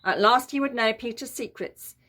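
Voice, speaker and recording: A woman's voice: on the high side, normal speed, a bit bright. A woman narrating a children's story in a dramatic, emphatic way. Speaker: story reader.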